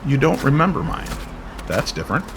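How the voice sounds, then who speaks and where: A man playing a calm, enigmatic stranger in a mystery audio drama, speaking in a measured, cryptic way. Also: on the high side, noisy background, voice pushed up. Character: calm, enigmatic stranger who knows everyone. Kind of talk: old-time radio style drama.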